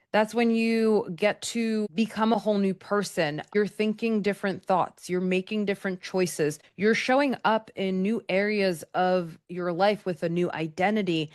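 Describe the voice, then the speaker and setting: Woman podcast host talking to listeners, kinda low voice, earnest, dry close mic. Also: dry recording. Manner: earnest, conversational, repeats words as she restarts. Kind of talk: teaching monologue. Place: Texas.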